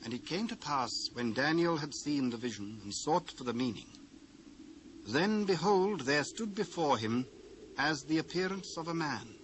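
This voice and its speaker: A man's voice: on the high side, lively intonation, normal speed, fairly bright tone, slight background noise, rough recording. A British man narrating scripture in a solemn, formal storytelling manner for a dramatised reading.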